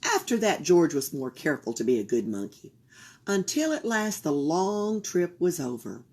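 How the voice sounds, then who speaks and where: A woman reading a children's picture book aloud for a library storytime, expressive and sometimes dropping into a whisper. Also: on the low side, normal speed, husky. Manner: expressive, drops into whispers for suspense.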